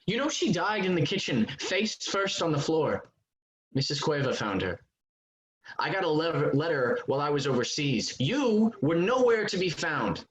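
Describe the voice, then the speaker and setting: An actor in a staged drama reading, playing an intense, menacing older brother back from war who shifts between pleading and accusing. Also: male voice, fairly high pitch, very animated, moderate pace. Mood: accusing, bitter. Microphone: video call. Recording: muffled, noisy video call audio with clicks.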